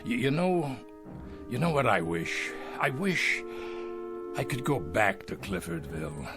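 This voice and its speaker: Gloating old tycoon, wildly swooping delivery. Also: male voice, medium pitch, very animated, unhurried, over music. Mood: restless longing. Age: older. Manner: gloating, theatrical, sardonic. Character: ruthless aging male tycoon, boastful, hungry, nostalgic for hometown. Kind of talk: audio drama acting.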